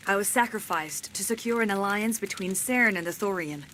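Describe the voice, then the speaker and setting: A woman acting as a solemn alien woman in a video game cutscene. She speaks in formal, measured declarations with grave dignity. Faint ambient noise sits behind her voice. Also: mid-range voice, sharp tone, lightly acted, poor audio. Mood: solemn, regretful. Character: alien woman, cold imperious mouthpiece of an ancient plant, later freed and remorseful.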